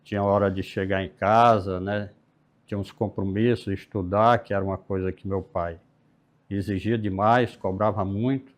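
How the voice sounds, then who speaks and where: A man, talking as a guest. His voice sits fairly low, and he speaks in an unhurried, reflective way. His sentences often trail off softly. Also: fairly even intonation. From Piauí, Brazil. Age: 60s. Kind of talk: casual interview.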